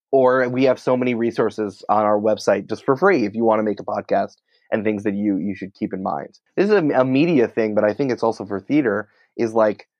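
A man's voice: fairly low pitch, no room sound, dull audio. An American man answering interview questions in an informal, emphatic, conversational way, peppering his speech with "like". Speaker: podcast producer and writer, interview guest.

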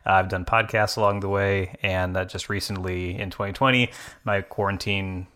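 A man with a low but forceful, bright voice talks easily as a podcast guest. He sounds relaxed and friendly, chatting loosely about his own setup. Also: normal speed, clean tone. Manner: relaxed and friendly, light laughs. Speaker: podcast guest, tech blogger. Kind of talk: casual interview chat.

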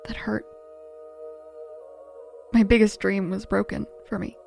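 A woman's voice: mid-range voice, flat delivery, a little slow, creaky, a little mellow, faint music behind. An actress playing a blunt, troubled, searching woman biographer in naturalistic sci-fi audio drama dialogue. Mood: wistful, hurt.

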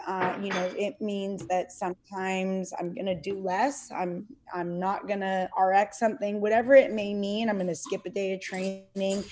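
A middle-aged woman chatting as a podcast guest. She speaks earnestly but haltingly, with frequent fillers and restarts, at an average pitch for a woman. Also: darkish tone, slight background noise. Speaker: podcast guest, CrossFit athlete and parent. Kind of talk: casual interview conversation.

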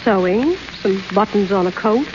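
An actress playing a plain-spoken, matter-of-fact maid, in a muffled 1930s radio broadcast. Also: female voice, mid-range voice, expressive, normal speed, noisy background, natural voice. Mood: blunt. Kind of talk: 1930s radio play acting.

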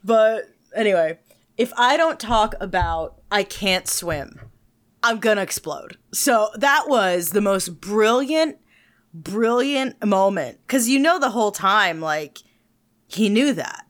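A woman co-hosting a casual podcast chat, talking in an excitable, emphatic way. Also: medium pitch, slow. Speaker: podcast co-host.

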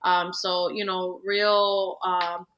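A woman's voice: on the low side, flat delivery, extremely slow, a bit bright, highs cut off. A woman, chatting as a guest attorney on a casual podcast and leaning on "you know" and "like". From Dominican Republic.

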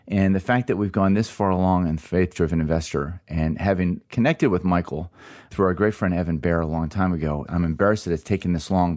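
Low-voiced enthusiastic male podcast host. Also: darkish tone. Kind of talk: podcast interview.

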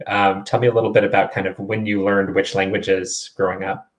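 A man's voice: low-pitched, flat delivery, fairly quick, a little hiss or hum. A man hosting a conversational online interview, chatty and encouraging as he puts questions to his guest. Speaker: interviewer and host of a test-prep show.

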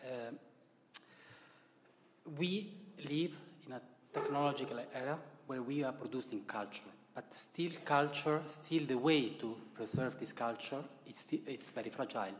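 A man's voice: medium pitch, a little hiss or hum. Italian man speaking in a reverberant hall. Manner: halting, measured, with broken English grammar. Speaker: publisher. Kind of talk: formal remarks at an event. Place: event room. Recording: long reverb, faint room ambience.

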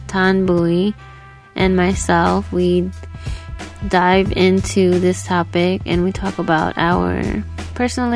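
An American woman hosts a casual podcast in a mid-pitched voice with noticeable creak. Her delivery is informal and slangy, like easy conversation between peers. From New York, United States. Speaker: podcast host.

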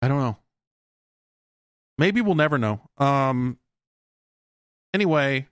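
A man's voice: medium pitch, lively intonation, slow pace, a bit bright, clear, resonant tone, no room sound. A man in his late forties hosting a podcast alone, rambling casually with frequent swearing and self-deprecating comic asides, close on the microphone. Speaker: podcast host.

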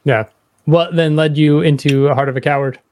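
A man's voice: fairly high pitch, steady tone, moderate pace, a little mellow, dry recording. A man hosting a podcast, asking casual interview questions in a conversational way.

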